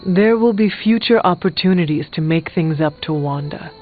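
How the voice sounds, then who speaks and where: An actor playing a steadfast, stern warrior hero in a video game, speaking in a plain, formal, resolute way. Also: male voice, a little high, normal speed, narrow, tinny audio, close to an everyday voice. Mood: reassuring. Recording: band-limited game audio with background music.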